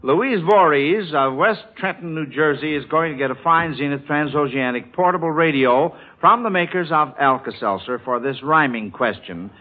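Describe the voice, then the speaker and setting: A man's voice: a little high, lively intonation, normal speed, few pauses. An American man hosting a mid-century radio quiz show in a folksy announcer's delivery, on a vintage radio recording. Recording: vintage radio, narrow telephone-like band.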